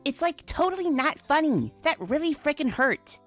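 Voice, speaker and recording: A woman's voice: high voice, sing-song, normal speed, soft background music. A woman voicing a ditzy, bubbly young female water kelpie in a cartoon comic dub, gushing like a valley girl. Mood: indignant.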